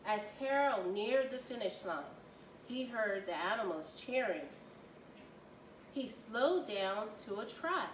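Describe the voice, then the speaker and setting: A woman reading a children's story aloud with expressive, deliberate storytelling. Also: mid-range voice. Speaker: volunteer children's story reader. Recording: roomy, distant mic, faint noise.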